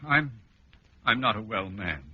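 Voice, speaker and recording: An actor playing a blustery, hungry middle-aged grouch in an old radio sitcom, with pompous, grumbling delivery. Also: male voice, fairly low pitch, animated, unhurried. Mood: self-pitying. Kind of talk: 1940s-50s radio sitcom acting. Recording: muffled old radio recording, loud noise, clicks.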